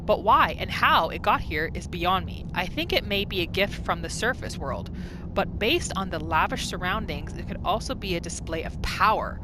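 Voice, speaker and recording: A woman's voice: on the low side, normal speed, noisy background. A woman hosting a gaming video with casual role-play commentary, talking to herself as she plays. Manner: excitable, exclaims often, talks to herself. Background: game music.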